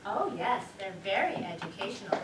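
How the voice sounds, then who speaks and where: An actress on stage playing a scatterbrained, chatty wife, dithering yet sure of herself, with emphatic theatrical delivery. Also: female voice, medium pitch, sing-song, normal speed, lightly acted. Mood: breezy. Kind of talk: live one-act stage play acting. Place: theater stage, picked up from a distance. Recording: roomy, distant, noisy theater recording.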